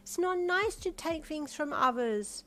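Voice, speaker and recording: A woman's voice: sits high, animated, a little slow, fairly bright tone, pitched above the performer's own voice. A woman voicing an earnest, bossy and innocent three-year-old girl in a story, with a blunt, emphatic childlike manner. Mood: cross, stern.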